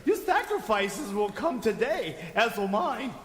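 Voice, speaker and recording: Crazy wizard prisoner, really high swoopy voice, echoey cell, eccentric and theatrical. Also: male voice, sing-song, a little slow. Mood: dramatic, ominous. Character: crazy skinny bearded captive wizard, eccentric last tribesman. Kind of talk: comedic audio drama acting. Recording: very echoey, long stone-cell reverb.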